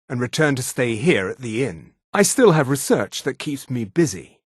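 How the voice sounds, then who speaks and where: A man voicing a haughty high elf mage in a fantasy video game, with formal, precise, theatrical diction. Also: sing-song, normal speed, husky, no room sound, clean studio sound. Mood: reserved, courteous. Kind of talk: scripted video game character lines.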